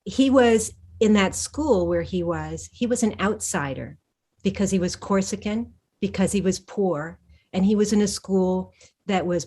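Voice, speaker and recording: A woman author in an interview, answering thoughtfully with frequent restarts and pauses. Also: mid-range voice, warm tone, slightly rough. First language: English. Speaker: historical fiction author, guest.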